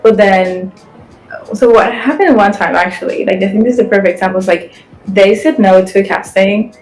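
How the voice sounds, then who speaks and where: A woman's voice: medium pitch, normal speed, darkish tone, rough recording. An eighteen-year-old woman from Guatemala, a podcast guest chatting casually and filling her speech with "like". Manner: chatty, frequent "like" fillers. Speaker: guest, fashion influencer and model.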